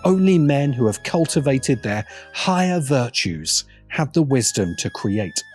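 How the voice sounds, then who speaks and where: A man narrating a scripted video essay in a deliberate, emphatic manner with pointed pauses. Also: mid-range voice, sing-song, darkish tone, soft background music.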